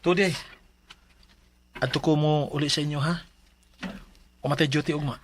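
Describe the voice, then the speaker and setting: An actor playing a brusque young boyfriend in a radio drama, speaking with a Cebuano accent. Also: male voice, a little high, steady tone, normal speed, a little hiss or hum, natural voice. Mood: casual, curt. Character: young boyfriend Kevin, brusque, easily displeased. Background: music.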